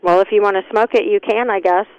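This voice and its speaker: A woman takes a customer service call at work over the telephone. Her voice is clean and smooth. She sounds unbothered, offering plain, dismissive replies. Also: medium pitch, fairly even intonation, fast, no room sound, lo-fi sound. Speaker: store employee answering phone. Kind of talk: customer service phone answers.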